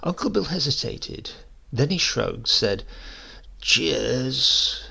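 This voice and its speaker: A man reads a short story aloud with a heavily creaky, gravelly voice. The tone is bright and forward, with a husky rasp. His delivery is measured and theatrical, rising and falling in a lively way. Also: mid-range voice. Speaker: story narrator. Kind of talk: dramatic scripted narration of a humorous short story.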